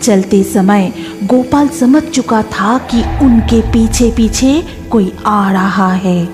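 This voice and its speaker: A woman's voice: medium pitch, slow pace, music in the background. A woman narrating a scripted horror cartoon story.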